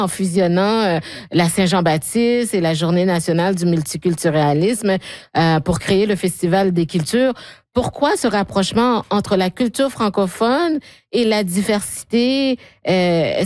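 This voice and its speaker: A woman's voice: medium pitch, normal speed, darkish tone, no echo. A woman hosting a radio interview, asking long questions with some fillers. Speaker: radio host.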